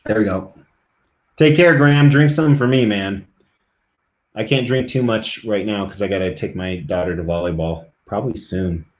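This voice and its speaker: A man's voice: fairly low pitch, moderate pace, reverberant. A middle-aged man live streaming, chatting casually and rambling to his viewers.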